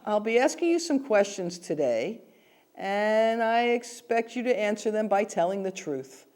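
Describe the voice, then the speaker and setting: A woman's voice: mid-range voice, lively intonation, a little slow, a little mellow. Woman asking polite formal interview questions. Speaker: mock interviewer. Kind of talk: scripted role-play dialogue.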